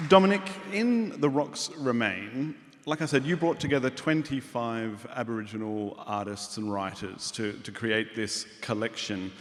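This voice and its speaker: A man's voice: medium pitch, unhurried, some chatter behind. Man moderating a panel in a hall. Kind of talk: hosting a panel. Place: large hall with audience. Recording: hall reverb.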